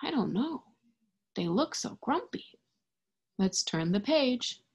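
Young woman, very slow pace, slightly husky voice, picture-book read-aloud, expressive child-directed teacher talk. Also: fairly high pitch. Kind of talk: read-aloud storytelling with simple commentary and questions for young children. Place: indoors in front of a green screen.

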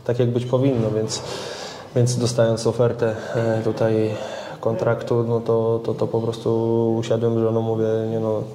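A man's voice: medium pitch, completely flat delivery, normal speed, crowd noise. A young man, a football goalkeeper, answering interview questions casually and hesitantly, often repeating words as he searches for them. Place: club media room, indoors. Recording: echoey room.

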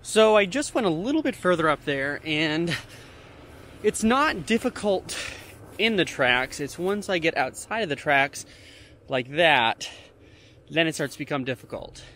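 A young man chatting casually as he drives a pickup truck, thinking aloud in a relaxed way. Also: a little slow. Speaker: vlogger. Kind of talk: casual driving vlog narration. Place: inside a pickup truck cab in the snow. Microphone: mounted dash camera. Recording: loud car noise in the cab. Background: loud engine and road rumble.

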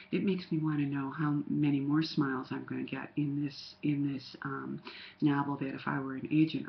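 A woman's voice: low voice, vocal fry. An older woman with a slightly nasal voice from a cold, chatting casually as a writing coach with many ums, recorded on a webcam in a home study. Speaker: writing coach critiquing query letters. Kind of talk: casual webcam critique, reading a letter aloud. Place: home study with bookshelves, indoors. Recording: dull lo-fi webcam audio.

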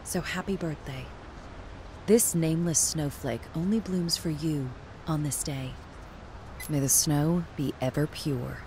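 A woman's voice: low voice, measured pace, a little hiss or hum. A woman voicing a stoic, devoted young royal guard in a video game, with formal, restrained, solemn delivery. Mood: solemn, reserved. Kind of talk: scripted video game character voice lines.

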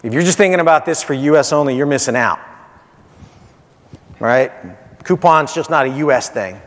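A man's voice: on the high side, moderate pace. A man in his forties giving a conference keynote in punchy short phrases, repeating key points and checking in with "right?". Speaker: keynote speaker, marketing executive. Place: large hotel conference stage, indoors. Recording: reverberant conference hall.

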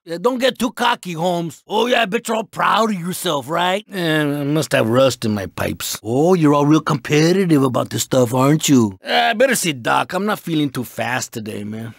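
An actor voicing a proud, laid-back lowrider car in a video game, with slangy, drawn-out street talk. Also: male voice, animated, gravelly, no echo, clean studio sound. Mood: sulky, mellow. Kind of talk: animated video game voice acting.

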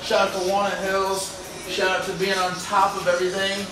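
A man's voice: high-pitched, monotone, moderate pace. A young man chatting casually as he hosts a home cooking video, laid-back and rambling, with jokey asides. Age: young adult. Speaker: cooking show host, home chef. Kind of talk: casual chat while cooking. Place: home apartment kitchen. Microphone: phone on counter, a few steps away. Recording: roomy, echoey phone recording from far off.

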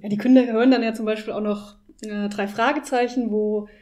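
A woman's voice: a little high, fairly even intonation, brisk, mellow. A woman hosting a podcast, discussing analytically in a casual way with informal, colloquial phrasing. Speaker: podcast host.

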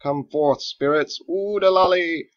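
A man's voice: a little high, a little slow, highs cut off, voice pushed up, natural voice. A man voicing a playful, theatrical cook who teases slyly and pretends at wizardry in a storybook reading. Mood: mock-dramatic. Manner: teasing, sly, hammy.

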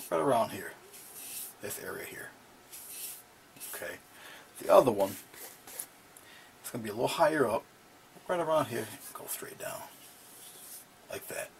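A man's voice: vocal fry, a little hiss or hum. A middle-aged man teaching painting in a small home studio, talking casually as he works and often dropping to a murmur. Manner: relaxed, talks while he paints, drops to low murmurs over the brush work. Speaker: painting instructor.

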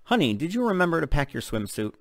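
A man's voice: on the high side, big pitch swings, fast talker, no room sound, pitched above the performer's own voice. A man reading the part of a teasing, affectionate single mother in a novel. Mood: fussing.

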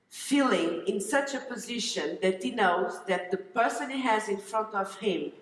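Slow woman in an echoey hall. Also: mid-range voice. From Angola. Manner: slow and deliberate, non-native phrasing. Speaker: relocation consultant on a conference panel. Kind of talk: conference panel talk. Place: large conference hall stage. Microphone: headset mic. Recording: roomy hall with long reverb.

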